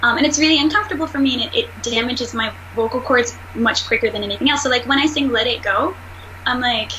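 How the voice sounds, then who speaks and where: Bright high nasal woman. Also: highs cut off, a little hiss or hum. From United States. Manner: fast, animated, laughs often. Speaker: voice actress and singer. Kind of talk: casual interview chat.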